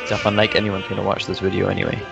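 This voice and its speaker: A man's voice: sits low, completely flat delivery, gravelly, over music. A man teasing his friends while playing an online game together. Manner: teasing, quick. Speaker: gamer, channel regular. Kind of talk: casual gaming banter.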